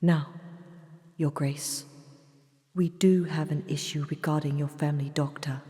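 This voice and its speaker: A woman acts a composed doctor of fantasy audio drama, low in pitch and unhurried. She is grave and measured, slipping into breathy asides, with a long reverb around her soft-edged voice. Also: steady tone. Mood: sombre, measured. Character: calm, grave woman doctor investigating a dangerous curse. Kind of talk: scripted audio drama acting.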